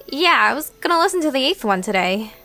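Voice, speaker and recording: Actress as a young woman, higher pitched, informal, animated, exasperated curiosity, audio drama, faint music behind. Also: quick pace, exaggerated voice. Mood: curious, exasperated. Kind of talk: conversational audio drama dialogue.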